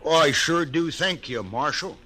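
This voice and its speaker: A man playing a rough, nervous frontier hide skinner in an old radio western, with a folksy drawl. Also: a little high, animated, a little slow, bright, forceful tone, soft background music, full character voice. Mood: wary. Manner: folksy drawl, eager and wary. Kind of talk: 1950s radio western acting.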